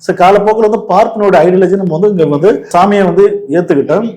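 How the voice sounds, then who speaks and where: Fast-talking Tamil Nadu man, argumentative explainer. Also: high-pitched, a little mellow. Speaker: political commentary host. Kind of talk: casual opinionated political discussion.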